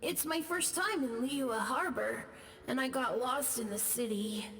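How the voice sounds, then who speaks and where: A woman voicing the meek, gentle ghost of a young boy in a video game. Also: high-pitched, measured pace, a little hiss or hum. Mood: shy, sheepish. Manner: meek, innocent, apologetic.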